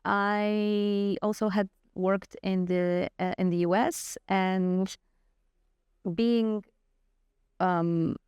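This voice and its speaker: Woman, Polish accent, Polish native speaker, middle-aged, earnest, frequent restarts, mid pitch, clear voice. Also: steady tone, drawn-out words, a little mellow, no echo, dull audio. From Poland. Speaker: guest scientist. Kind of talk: conversational interview answers.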